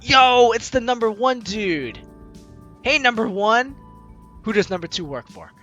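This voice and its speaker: A man's voice: very high-pitched, expressive, measured pace, fairly bright tone, highs cut off, soft background music. A man hosting a gaming video, playfully mixing jokey commentary with theatrical read-aloud narration.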